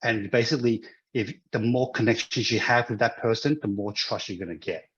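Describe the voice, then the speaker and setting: A man's voice: on the low side, fairly even intonation, brisk, a bit bright, dull audio. A man from Hong Kong who grew up in Australia, a guest in a casual interview, who talks with many restarts and fillers. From Hong Kong, raised in Australia.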